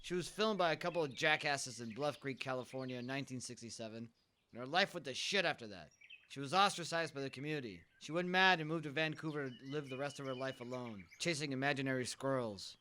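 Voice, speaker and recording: Man playing an irate, bright-voiced Bigfoot dad. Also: medium pitch, quick pace, a little hiss or hum, natural voice. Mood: bitter. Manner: gruff grumbling that erupts into indignant ranting. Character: irate, foul-mouthed Bigfoot father who despises humans. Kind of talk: comedic radio play acting.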